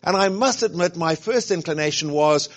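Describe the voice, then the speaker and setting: A man's voice: on the high side, normal speed. A middle-aged man giving an informal, joking sermon talk as a guest speaker, with many fillers. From grew up where rugby is played. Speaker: guest speaker, college president.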